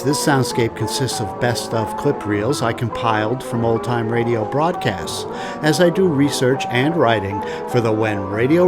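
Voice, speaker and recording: A man reading a scripted podcast introduction as its host. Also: medium pitch. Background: loud music.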